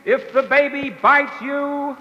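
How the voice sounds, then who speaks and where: A man's voice: very high voice, steady tone, takes it slowly, sharp tone, slight background noise. A man reading out a mock oath in a solemn, ceremonial manner, in a scripted radio comedy. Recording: reverberant dull 1940s radio broadcast.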